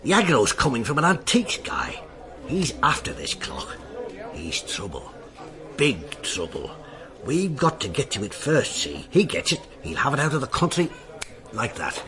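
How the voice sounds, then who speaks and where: An actor playing a brisk police inspector in a radio drama, with clipped, emphatic, streetwise phrasing. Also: male voice, medium pitch, huge pitch swings, a crowd in the background. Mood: urgent, wary. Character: brisk male police detective inspector hunting a relic.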